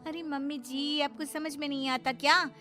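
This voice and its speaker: A woman voicing a defiant, sharp-tongued young daughter-in-law in a cartoon moral story, arguing insistently. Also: high voice, steady tone, moderate pace, soft background music. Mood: defiant, annoyed. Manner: argumentative, insistent.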